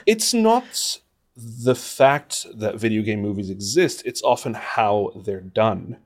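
A man's voice: lowish voice, expressive, takes it slowly. A man giving thoughtful, opinionated answers as an interview guest, speaking conversationally and with emphasis. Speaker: interview guest, video game composer and radio presenter.

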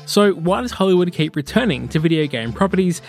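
A man's voice: sits high, animated, brisk, creaky. Male feature host over background music. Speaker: host, interviewer. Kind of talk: scripted narration and interview questions.

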